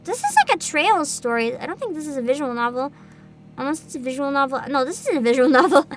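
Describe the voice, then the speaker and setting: A woman chatting casually to viewers as a livestream host, with a giggly, expressive manner full of interjections. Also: sits high.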